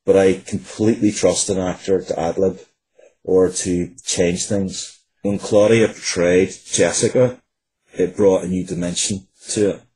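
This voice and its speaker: Male interview guest, very husky and somewhat mellow, earnest, conversational, repeats himself for emphasis. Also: low-pitched, steady tone, unhurried, rough recording. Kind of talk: casual interview talk.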